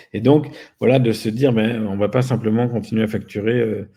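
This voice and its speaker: A man's voice: fairly low pitch, fairly even intonation, normal speed, warm tone, slightly rough. A man speaking as an interview guest, explaining things casually with a wry, self-deprecating manner. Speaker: guest, company founder.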